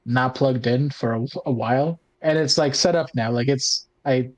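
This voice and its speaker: A man's voice: medium pitch, normal speed. A man chatting informally as a podcast co-host, thinking aloud as he goes. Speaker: podcast host and tabletop player. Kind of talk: casual chat.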